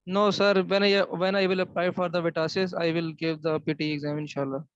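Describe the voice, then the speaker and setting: A man's voice: fairly high pitch, flat delivery, a little fast, clear, resonant tone. A man from Pakistan with a Pakistani accent, answering and asking for advice in a polite, deferential way. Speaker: webinar participant seeking advice. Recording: dull, lo-fi online call audio.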